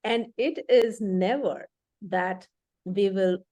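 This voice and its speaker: A woman's voice: mid-range voice, slow pace, warm tone, no room sound. A woman leadership coach explaining concepts thoughtfully in conversation, with frequent fillers and restarts.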